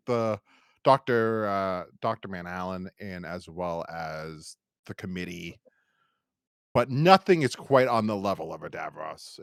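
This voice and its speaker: A man's voice: sits low, no echo, clean studio sound. An American man hosting a podcast, talking casually and enthusiastically in a rambling fan-review style. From United States. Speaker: podcast host.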